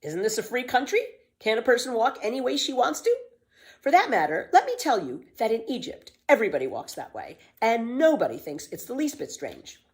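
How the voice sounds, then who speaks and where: An exuberant little girl from a children's storybook, played by a woman reader. She boasts with carefree, theatrical emphasis. Her pitch sits high and swoops freely. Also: wildly swooping pitch, fairly quick. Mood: indignant boasting. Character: bold, boastful, exuberant little girl telling tall tales. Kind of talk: children's storybook read-aloud.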